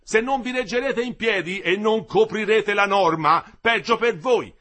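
A man voicing a weary, furious older engineer who scolds his workers loudly in a storybook reading. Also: sits high, unhurried, voice pushed up, heavily acted. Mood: scolding. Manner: shouting, scolding.

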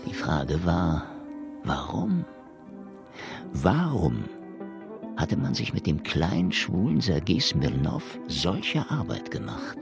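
Hard-boiled detective narrator, bottomless low pitch, brooding, noir radio drama, music underneath. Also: male voice, wildly swooping pitch, slow, gravelly, heavily acted.

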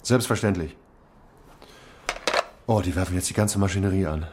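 An actor playing a weary police chief inspector who thinks cases through aloud in a radio drama. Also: male voice, low-pitched, normal speed, noisy background, lightly acted. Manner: thoughtful, musing, talks it through.

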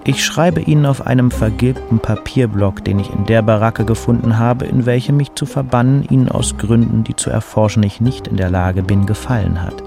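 A man's voice: medium pitch, normal speed, creaky, few pauses, over music, close to an everyday voice. A man playing a burnt-out, neurotic city poet in an audio drama, dry and wry, with stilted, formal phrasing. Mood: gloomy, formal. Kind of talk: audio drama dialogue and letter narration.

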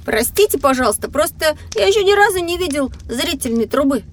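An excitable young boy in a children's radio play. The voice is very high, with an eager, emphatic delivery. He sounds curious and sure of himself. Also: brisk. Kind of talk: acted children's educational audio drama.

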